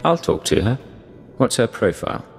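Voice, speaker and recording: An actor playing a self-assured, suave male secret agent in a video game, speaking in a composed, measured way. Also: medium pitch, very animated, gravelly. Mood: cool, suave. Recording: music under the voice.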